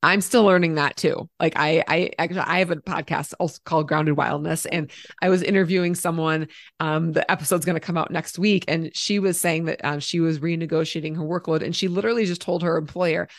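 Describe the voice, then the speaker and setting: Brief: younger woman, podcast guest, lively and chatty, slight fry, dry close sound. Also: low voice, fairly quick, dry recording. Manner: animated, laughs often, quotes herself. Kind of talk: casual conversation.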